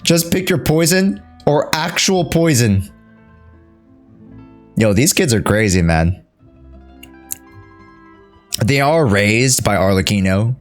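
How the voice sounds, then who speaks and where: Male game streamer, live commentary; jokey, drawn-out reactions, unhurried delivery with lively pitch. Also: soft background music.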